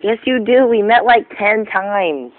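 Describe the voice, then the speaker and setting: A man's voice: high voice, a little slow, dry recording. A man making a casual prank phone call in a pushy, insistent, teasing way, on a muffled phone recording. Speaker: prank caller.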